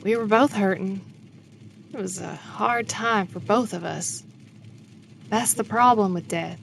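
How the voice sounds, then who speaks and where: A woman's voice: mid-range voice, a little slow, creaky, a little hiss or hum. A woman playing an older wife and grieving mother, frank and consoling, in an audio drama. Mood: sombre, consoling.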